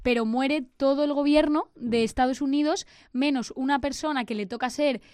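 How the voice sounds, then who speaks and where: A woman's voice: high voice, normal speed, darkish tone, no room sound, clean studio sound. A woman with an accent from Spain, hosting a casual panel chat and steering the others along. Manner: directs and prods the others. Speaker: host of a magazine show.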